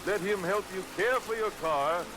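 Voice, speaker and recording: A man announces a commercial in vintage radio fashion. His voice is high for a man, and it comes through quietly on an old broadcast recording. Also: moderate pace, background noise. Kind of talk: vintage radio advertisement read.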